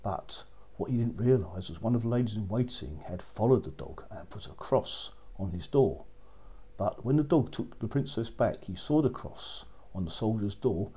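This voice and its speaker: A man narrating a children's story as a storyteller, with expressive, dramatic delivery. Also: lowish voice, slightly rough.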